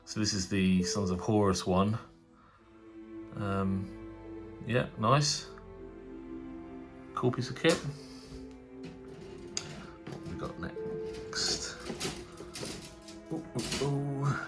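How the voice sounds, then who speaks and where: A man's voice: lowish voice, drawn-out words, pauses often, music in the background. Stuffy-nosed man chatting casually. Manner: chatty, rambling, frequent fillers and restarts. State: nasal from a cold. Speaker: tabletop wargaming channel host. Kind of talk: casual unboxing commentary.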